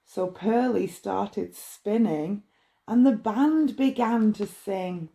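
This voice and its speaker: A woman reading a rhyming bedtime story in a measured, expressive way. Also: mid-range voice, vocal fry. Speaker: storybook reader.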